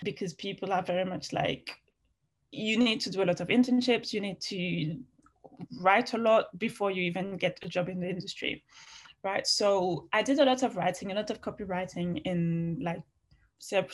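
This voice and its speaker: Chatty woman with a French accent. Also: mid-range voice. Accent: French, with some British. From France. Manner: chatty, frank, with frequent fillers and restarts. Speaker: interview guest, fashion writer. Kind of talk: casual interview answers.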